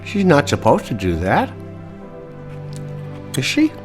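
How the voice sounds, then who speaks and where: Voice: medium pitch, huge pitch swings, takes it slowly, over music. An actor playing a dim, oblivious husband glued to the television in a satirical radio drama, childlike and placid. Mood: mildly puzzled. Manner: dopey, placid, simple.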